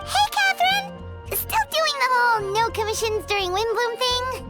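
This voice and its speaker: A woman voicing a tiny, excitable, chatty fairy companion girl in a video game, squeaky and childlike. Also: normal speed, exaggerated voice. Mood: perky, curious. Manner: chatty, exclamatory. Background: music.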